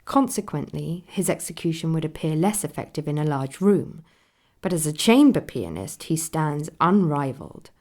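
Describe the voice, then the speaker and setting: A woman playing a Victorian newspaper critic, reading an admiring review in a formal, deliberate manner for a radio play. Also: low voice, lively intonation, a little mellow.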